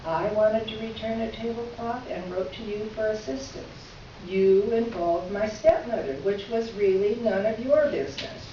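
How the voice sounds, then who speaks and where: A woman acts out a polite, pointed female columnist in a readers theater piece. She reads her letter in measured, formal phrases with dry irony. The sound is very echoey and somewhat dull, with faint noise. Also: mid-range voice, mellow, natural voice. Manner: formal, measured, drily ironic. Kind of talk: staged readers theater, reading a letter aloud. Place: live reading in a reverberant room. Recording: echoey room from far off, dull, noisy.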